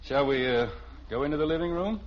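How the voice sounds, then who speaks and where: A man's voice: fairly high pitch, lively intonation, fairly quick, close to an everyday voice. An American actor playing a middle-aged, hesitant suburban father in an old radio sitcom. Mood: flustered. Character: flustered suburban family father and husband. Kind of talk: 1950s radio sitcom acting. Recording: muffled, husky old radio recording.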